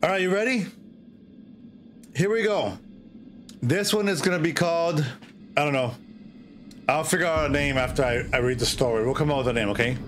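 A middle-aged man hosting a livestream, chatting casually and reading a horror story in a drawn-out, theatrical way with pauses. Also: on the high side, fairly bright tone, a little hiss or hum. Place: indoor streaming setup. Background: music.

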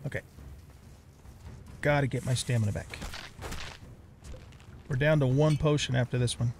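A man's voice: mid-range voice, fairly even intonation, moderate pace, background noise. A middle-aged man giving casual, reactive gameplay commentary, talking half to himself and half to the game. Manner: talks to himself and to the game, mild swearing. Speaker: let's play host.

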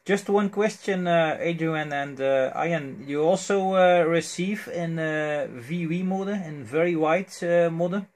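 A man talking as an amateur radio operator in an unscripted exchange, with a hesitant, halting delivery. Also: a little high. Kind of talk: unscripted ham radio exchange with spelled call signs.

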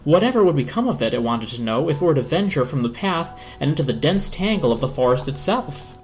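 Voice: mid-range voice, very animated, fairly quick, soft background music. A narrator giving a dramatic reading of a storybook. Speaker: narrator, reader.

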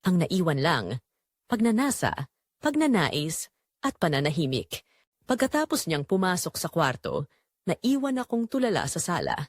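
A woman playing a guilt-torn, longing middle-aged mother-in-law, narrating an audio drama in deliberate, emotive first-person phrases. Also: fairly low pitch, wildly swooping pitch, dry recording, clean studio sound, full character voice.

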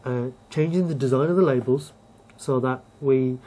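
Shop owner, 30s, mellow warm voice, even explanatory delivery, camera mic. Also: male voice, medium pitch, normal speed. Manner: calm, explanatory, with many fillers. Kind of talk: on-site interview. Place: shop and community hall, indoors.

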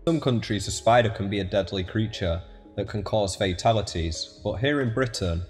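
Male narrator, lowish pitch, scripted documentary read over faint music. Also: normal speed.

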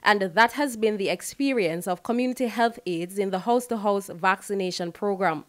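A woman's voice: mid-range voice, moderate pace. A woman with a Jamaican accent narrating a scripted news report.

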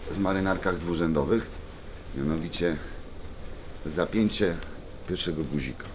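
Low-voiced man in a noisy vlog. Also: moderate pace. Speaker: vlog subject, MMA fighter. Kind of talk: casual vlog chat to camera. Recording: noisy on-location vlog audio.

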